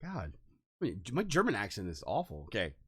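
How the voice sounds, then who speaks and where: A man co-hosting a podcast in a casual chat, skeptical and quick with a joke. His pitch swings widely as he talks. The sound is close and dry. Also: wildly swooping pitch, slightly rough, no room sound. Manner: skeptical, joking, keeps prompting. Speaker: podcast co-host.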